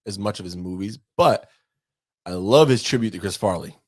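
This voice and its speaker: A man co-hosting a podcast, asking quick questions and cracking jokes in casual chat. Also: lowish voice, darkish tone, dry recording, clean studio sound. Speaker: podcast co-host.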